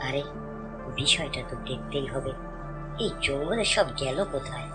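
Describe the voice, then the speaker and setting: A man's voice: medium pitch, normal speed, loud music behind the voice, close to an everyday voice. A man voicing a clever, suspicious fox scholar in a cartoon, urgent and commanding. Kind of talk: cartoon voice acting.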